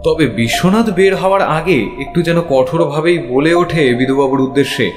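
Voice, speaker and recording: A man's voice: on the high side, moderate pace. A man narrating a scripted detective audio story in the first person, in a dramatic storytelling style. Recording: long reverb, music behind. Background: music.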